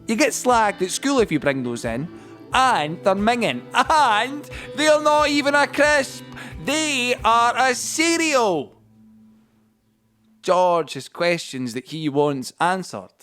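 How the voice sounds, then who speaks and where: A man's voice: sits high, big pitch swings, slow, sharp tone, full character voice. An actor playing a young Scottish man who tells a story in a confiding, colloquial way, as a dramatic monologue in an audio play. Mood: incredulous, animated. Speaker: actor-narrator. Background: music score.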